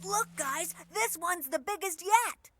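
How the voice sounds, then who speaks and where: A woman voicing a proud, quick-tempered small child in an English anime dub, pushy and childish. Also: extremely high pitch, lively intonation, very noisy, full character voice. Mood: bossy, annoyed.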